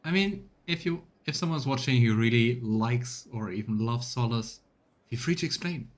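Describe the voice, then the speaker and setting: A man's voice: mid-range voice, expressive, moderate pace. A man chatting casually as a let's play host, musing and laughing easily. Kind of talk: casual gameplay commentary.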